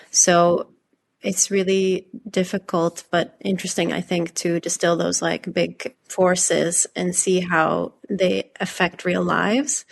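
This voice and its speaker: A woman's voice: fairly low pitch, creaky. A woman from Hungary being interviewed as a novelist, talking reflectively and conversationally with tentative phrasing.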